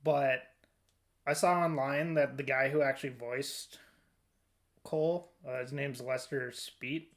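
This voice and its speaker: A man's voice: mid-range voice, fairly even intonation, slow pace. A man hosting a casual podcast discussion, conversational and a little hesitant, often trailing off. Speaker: podcast host.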